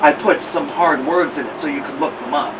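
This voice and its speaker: A man's voice: on the high side, fairly even intonation, brisk, far from the mic, close to an everyday voice. An earnest young storybook boy, cheery and keen to please, voiced by a man reading aloud. He is heard on a dull recording with noticeable background noise. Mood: pleased.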